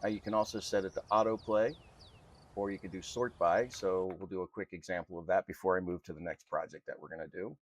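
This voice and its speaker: A man presenting an unscripted software tutorial in an informal, halting, step-by-step way. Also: low voice, fairly even intonation. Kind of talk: unscripted screen-recorded walkthrough.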